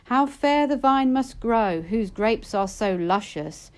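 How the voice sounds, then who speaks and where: A woman's voice: fairly high pitch, lively intonation, slow, clean tone. A woman voicing a curious, tempted maiden who speaks with eager wonder in a storybook poem. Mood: entranced.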